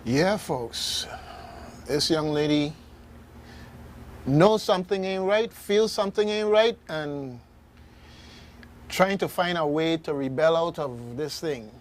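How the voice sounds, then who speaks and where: A man's voice: fairly high pitch, lively intonation, takes it slowly, pauses often, a little hiss or hum. A man with a Caribbean accent hosting a casual radio discussion, folksy and speaking straight to listeners. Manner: folksy, addresses listeners directly. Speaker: radio host.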